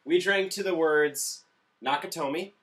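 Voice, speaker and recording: Bright-voiced man, slightly off the mic. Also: a little high, measured pace, distant mic. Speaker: co-host of a movie review show. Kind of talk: casual unscripted review chat.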